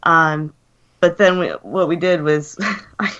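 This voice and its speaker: American woman guest, sick and low-voiced, chatty, lo-fi call sound. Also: steady tone, slow. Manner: chatty, laughs softly, many fillers. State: sick with a cold, deeper voice. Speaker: guest co-host. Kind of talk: casual chat. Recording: lo-fi online radio call.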